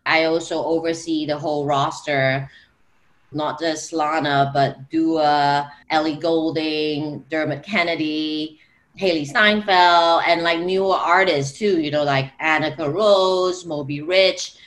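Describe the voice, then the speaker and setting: A woman from Singapore, a music executive, talking casually on a panel with many "like"s and "you know"s. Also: deep voice. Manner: chatty, filler-heavy with "like" and "you know".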